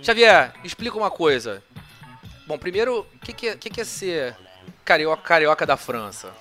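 A man hosting a podcast, speaking with lively energy and enthusiasm. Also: on the high side, moderate pace, faint music behind. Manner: exuberant greeting, lively and loud. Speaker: podcast host.

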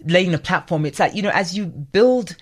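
A woman's voice: lowish voice, expressive, normal speed. A woman interview guest speaking reflectively in loose, rambling phrases full of "you know". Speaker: interview guest, media entrepreneur.

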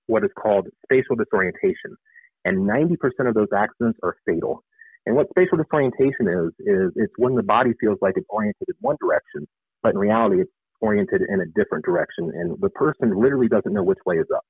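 Fast-talking low-voiced man on a phone line. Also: expressive, slightly rough, no echo. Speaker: interview guest, author and air traffic controller. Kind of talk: conversational radio interview explanations.

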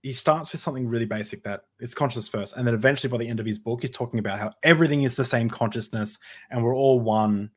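A man's voice: mid-range voice, fast, slightly rough. A man hosting a casual podcast conversation, talking hesitantly with frequent restarts and fillers. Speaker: podcast host.

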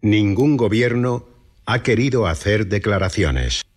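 An actor playing a grave documentary narrator of a disaster chronicle, solemn, measured and deliberate. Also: male voice, on the low side, expressive. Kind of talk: scripted documentary-style narration. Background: music.

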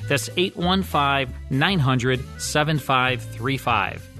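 A man's voice: medium pitch, animated, slow pace, bright, forceful tone. Male radio host narrating over music. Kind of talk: scripted narration.

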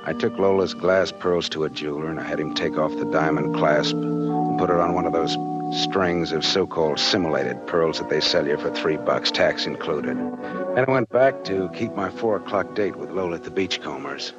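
An actor playing a hard-boiled, wry but decent American private detective in a 1940s radio drama, terse and slangy. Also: male voice, very deep voice, moderate pace. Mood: gentle, guarded. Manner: terse, wry, slangy tough-guy delivery. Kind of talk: 1940s radio noir acting and narration. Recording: dull vintage 1940s radio recording, organ-like music behind.

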